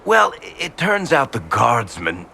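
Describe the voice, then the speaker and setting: A man's voice: mid-range voice, wildly swooping pitch, a little slow, gravelly, bright, forceful tone, husky, exaggerated voice. A man playing a wry, loyal rogue storyteller in a video game, sardonic and casual with a theatrical streak. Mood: smug, amused. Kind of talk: video game character acting.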